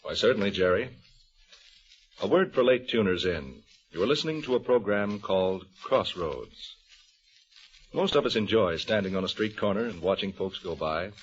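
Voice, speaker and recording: A male announcer reads scripted copy in a fairly low voice, in old-time radio style. The recording is dull and muffled. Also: expressive, moderate pace, a little hiss or hum.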